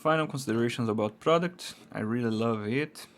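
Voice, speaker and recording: A married man from Brazil whose first language is Portuguese, reviewing an amplifier in halting English with a Brazilian accent and frequent "uh" fillers. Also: medium pitch, loud music behind the voice. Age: adult, married. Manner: halting non-native English with many uh fillers. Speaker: product reviewer. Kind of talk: casual product review.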